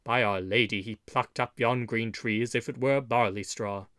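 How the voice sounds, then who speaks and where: A man's voice: medium pitch, fairly even intonation, normal speed, fairly bright tone, no room sound, studio quality, natural voice. A man voicing a hearty, blunt yeoman outlaw in a storybook, full of wonder and archaic oaths. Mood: amazed. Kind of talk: audiobook storybook character.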